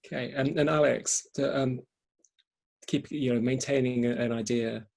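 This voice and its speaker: A man hosting an online panel informally, speaking haltingly and often repeating words as he goes. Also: mid-range voice, fairly even intonation, gravelly, a little mellow. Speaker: event host and moderator.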